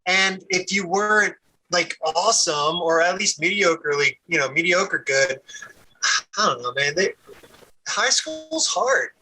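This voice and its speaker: Male guest in his thirties, high and very bright voice, loose rambling storyteller. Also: animated, a little slow, slight background noise, rough recording. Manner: rambling, repeats words, laughs easily. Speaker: podcast guest. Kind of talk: casual conversation.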